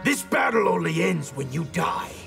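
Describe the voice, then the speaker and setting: A grim, creaky-voiced warrior. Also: male voice, high voice, big pitch swings, normal speed, over music, full character voice. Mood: grim threat. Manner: solemn, menacing. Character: grim, threatening male warrior. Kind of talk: video game fight taunts.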